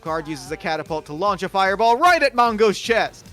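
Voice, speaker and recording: A man narrating screenplay stage directions in a table read, brisk and matter-of-fact. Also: high-pitched, very animated, bright, forceful tone, faint music behind.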